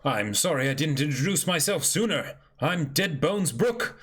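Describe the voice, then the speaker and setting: A man's voice: on the high side, expressive, normal speed, fairly bright tone, breathy edge. A man voicing a courteous, earnest skeleton pirate swordsman in a comic reading, speaking formally.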